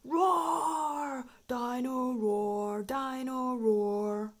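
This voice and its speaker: A roaring toy dinosaur from a children's storybook, acted out by a woman. It chants very slowly in a loud, repetitive sing-song. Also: high-pitched, steady tone, pitched above the performer's own voice, full character voice. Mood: booming, sing-song.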